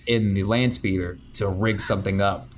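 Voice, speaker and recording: A man's voice: fairly low pitch, normal speed, a little hiss or hum. A man narrating and explaining rolls as game master of a tabletop game. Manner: relaxed, explaining rules and scenes.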